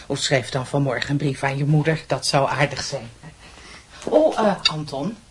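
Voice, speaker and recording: An actress playing a middle-aged aunt in a radio drama, proper and mildly disapproving, with brisk, pointed questions. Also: female voice, rumbling low, animated, a little hiss or hum. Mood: brisk, bossy.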